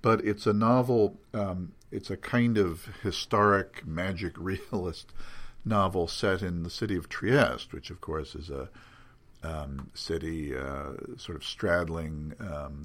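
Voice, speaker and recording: An older man talking off the cuff about literary matters in erudite wording, halting often with ums and self-corrections. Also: deep voice, steady tone, fairly bright tone. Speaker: podcast guest editor previewing magazine contents. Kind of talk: unscripted literary talk.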